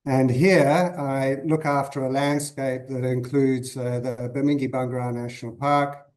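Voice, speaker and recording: A man in his fifties from Zimbabwe, a guest on a podcast interview, answering thoughtfully with many pauses and restarts. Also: mid-range voice, fairly even intonation, darkish tone. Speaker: guest conservationist.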